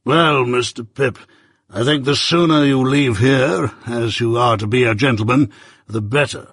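A man voicing a stern, commanding older lawyer who speaks in clipped, emphatic warnings, with a husky voice in a dry recording. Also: medium pitch, measured pace, fairly bright tone, no room sound.

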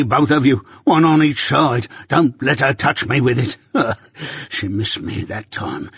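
A man's voice: medium pitch, a bit bright, husky, dry recording, clean studio sound. A man voicing a dying, ghost-haunted male convict who raves in frightened rustic dialect. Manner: terrified, raving pleas in rustic dialect.

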